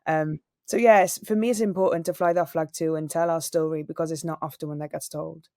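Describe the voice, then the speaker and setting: A young woman, a singer and radio presenter, chatting in a relaxed interview and acting out the people in her stories. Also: low voice, brisk, dry recording, studio quality. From Wales. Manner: animated storyteller, acts out quoted people.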